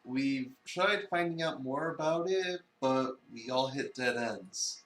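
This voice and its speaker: A man's voice: mid-range voice, takes it slowly, fairly bright tone, soft background music. A man playing a bossy but caring male cafe leader in a visual novel reading, with a dry manner. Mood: reassuring. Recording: roomy, distant from mic.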